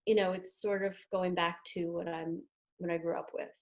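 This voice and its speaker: A woman from New York with an American accent, talking casually as an interview guest, chatty with hesitant pauses. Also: on the low side, flat delivery. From New York, United States. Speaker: interview guest, tarot deck creator and artist.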